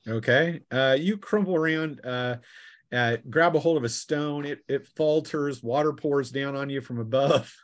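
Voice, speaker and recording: A man acting as game master, narrating a tabletop role-playing game in a casual, halting way that mixes story with rules talk. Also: medium pitch, dry recording, clean studio sound.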